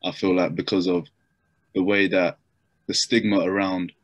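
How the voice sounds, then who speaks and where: A man's voice: sits low, level tone, moderate pace, no room sound, lo-fi sound. A young man on a video call from his bedroom, telling his story with many fillers and restarts. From Japan. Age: young adult. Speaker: interview guest. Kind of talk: casual video-call interview. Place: bedroom at home. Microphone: laptop webcam mic on video call.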